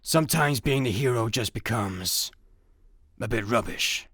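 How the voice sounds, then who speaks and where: A smug action hero gone bad, in a sci-fi radio comedy. He is breezy, deadpan and pleased with himself. The sound is close and dry. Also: medium pitch, measured pace, breathy edge, no room sound. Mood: smug, unapologetic. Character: smug fake action hero turned criminal mastermind. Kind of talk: comic sci-fi radio play acting.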